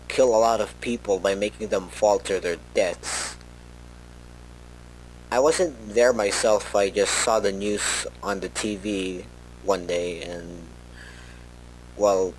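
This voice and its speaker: A man playing a worried researcher who records a rambling, halting, informal tape log for an analog horror story. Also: lowish voice, a little hiss or hum, low-quality recording. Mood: somber, halting. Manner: hesitant, halting, rambling with self-corrections.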